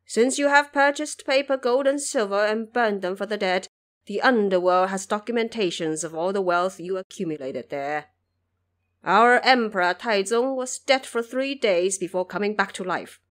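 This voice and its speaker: A woman's voice: medium pitch, moderate pace, close to an everyday voice. A woman voicing a dignified male imperial envoy who speaks politely and earnestly in an audio drama. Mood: courteous.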